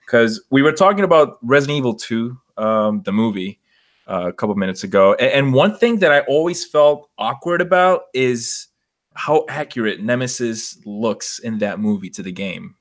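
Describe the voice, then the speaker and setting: A man's voice: medium pitch, expressive, moderate pace. A man hosting a casual podcast discussion, feeling his way through questions and searching for words. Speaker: podcast host steering discussion.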